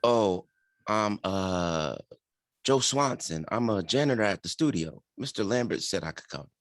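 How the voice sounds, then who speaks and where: A man plays a humble, unsure young janitor dad in a screenplay read. His voice sits fairly low and moves around a lot, hesitant, recorded close and dry. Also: animated, measured pace, no room sound. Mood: uneasy, hesitant. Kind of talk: table read of a drama screenplay.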